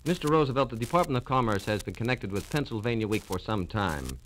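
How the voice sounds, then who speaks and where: A man's voice: on the low side, animated, fast talker, a bit bright. A man working as a radio interviewer, speaking in a formal scripted style. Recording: noisy, clicking 1940s radio transcription disc.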